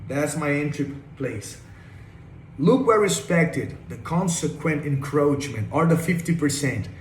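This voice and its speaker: A young man from Brazil with a Brazilian Portuguese accent, enthusiastically walking viewers through his day trade and repeating "check this out". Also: fairly high pitch. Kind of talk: screen-share trade breakdown. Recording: echoey room with faint noise.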